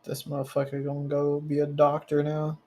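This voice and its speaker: A man's voice: a little high, monotone, fairly quick, a little mellow. A brash man bantering and teasing with casual swearing as a player in a tabletop game.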